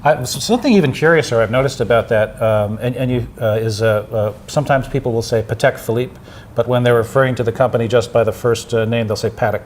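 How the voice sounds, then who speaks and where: A man's voice: mid-range voice, fast, darkish tone, a little hiss or hum. A man hosting a casual live chat, talking in a rambling way with many fillers and restarts. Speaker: host.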